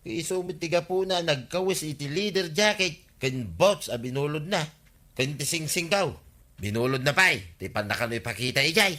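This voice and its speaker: A man's voice: on the high side, expressive, normal speed, sharp tone. An actor playing an aggrieved, gossipy village man in an Ilocano radio comedy drama, with an Ilocano accent and a complaining, exclamatory manner. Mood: exasperated. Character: aggrieved, gossipy village man demanding his lost things back.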